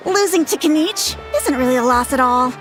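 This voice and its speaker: A woman voicing a bubbly, admiring young bystander in a video game, earnest and excitable, with music behind. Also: extremely high pitch, heavily acted, low-quality recording.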